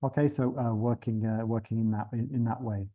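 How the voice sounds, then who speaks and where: A man's voice: fairly low pitch, fairly even intonation, a little fast, narrow, tinny audio. Hesitant man presenting a webinar. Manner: hesitant, many fillers and restarts.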